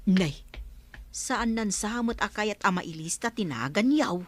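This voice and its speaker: Female villager actress: Ilocano accent, inquisitive and plaintive, mid-pitched with swooping delivery, radio comedy. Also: expressive, unhurried, noisy background, close to an everyday voice. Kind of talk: broadcast comedy radio drama acting.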